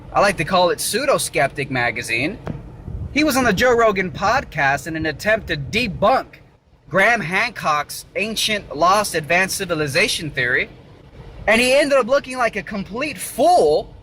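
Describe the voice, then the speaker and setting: Bright, high-voiced man lecturing from a car. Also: sing-song, normal speed. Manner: emphatic, repetitive, lecturing. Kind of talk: argumentative solo video monologue. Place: inside a car. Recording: faint vehicle noise. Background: faint car noise.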